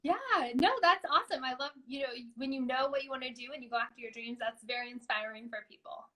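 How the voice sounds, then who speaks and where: A woman's voice: high voice, fast, bright, forceful tone. A woman hosting an online interview show, upbeat and encouraging in manner. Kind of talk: live online interview, scripted sponsor read then casual chat.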